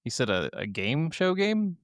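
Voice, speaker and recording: A man's voice: fairly high pitch, big pitch swings, moderate pace, no room sound, studio quality. A man co-hosting a gaming podcast in a casual, laid-back, informal way. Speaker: podcast co-host.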